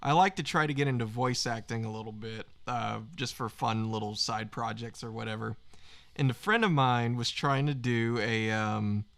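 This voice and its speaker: American man, medium pitch, casual conversational talk, livestream participant. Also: moderate pace, creaky, a bit bright. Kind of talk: casual chat.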